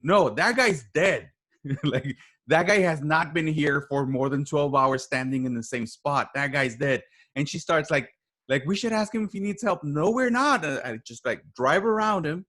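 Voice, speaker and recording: A man speaking as a podcast guest, casually telling a story with repeated phrases and halting starts, acting out the quoted dialogue. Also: a little high, a bit bright. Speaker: podcast guest, writer and voice actor.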